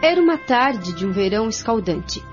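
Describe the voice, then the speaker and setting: Casting: female storyteller for radio drama, voice on the higher side, scripted narration, dull recording. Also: very animated, moderate pace. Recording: dull lo-fi audio over music.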